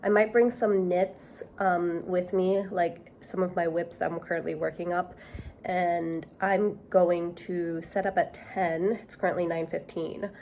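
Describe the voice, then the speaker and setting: Nasal, hoarse young woman vlogging. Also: fairly low pitch, fairly even intonation, unhurried, darkish tone, slight background noise. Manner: chatty, thinking aloud with fillers. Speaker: knitting vlogger. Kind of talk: casual vlog to camera.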